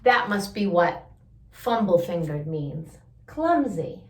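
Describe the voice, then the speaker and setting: Animated woman reading a children's storybook. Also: fairly low pitch, echoey room. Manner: expressive, drawn-out emphasis, chatty asides. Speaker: children's story-time host reading a picture book aloud. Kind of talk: animated read-aloud storytelling with asides to young listeners.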